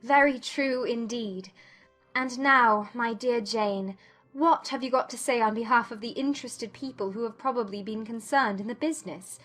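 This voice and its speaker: A forthright young Regency lady, acted by a woman talks in a fairly high voice. She is witty and direct, with soft music underneath, in an audiobook drama. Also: normal speed. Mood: teasing.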